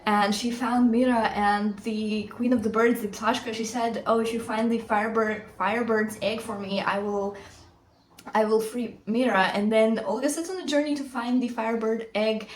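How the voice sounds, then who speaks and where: A woman's voice: on the high side, steady tone, normal speed, roomy sound, a little hiss or hum, poor audio. A woman whose first language is Russian, reviewing books in a casual, rambling vlog with lots of fillers. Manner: chatty, rambling, many fillers. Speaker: book vlogger.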